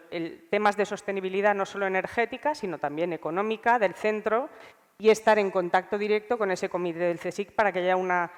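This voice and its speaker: Female panelist, Spain accent. Mid-pitched, smooth though congested with a cold, hesitant, in a reverberant hall. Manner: hesitant, thinking aloud. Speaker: research scientist, panelist. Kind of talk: informal, unscripted panel remarks.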